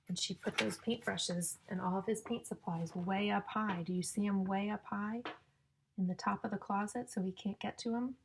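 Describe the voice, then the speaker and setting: A woman reading a picture book aloud to young children in a sing-song, exaggerated way, pausing to ask and explain like a teacher. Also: medium pitch, fairly even intonation, gravelly, noisy background. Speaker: children's story-time reader and teacher.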